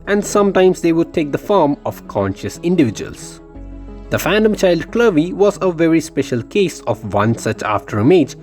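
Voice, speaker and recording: A man's voice: on the high side, darkish tone. A man reading a scripted game lore theory voice-over with dramatic, emphatic phrasing. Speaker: video game lore YouTuber.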